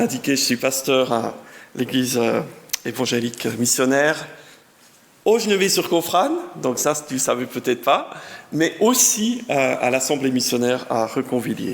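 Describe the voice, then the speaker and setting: Brief: Swiss German-accented male pastor, 50s, genial and chatty, gravelly voice, middle pitch. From German-speaking part of canton of Bern, Switzerland. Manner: genial, chatty, self-deprecating, reads scripture with lively emphasis. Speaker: guest pastor. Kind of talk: sermon with Bible reading.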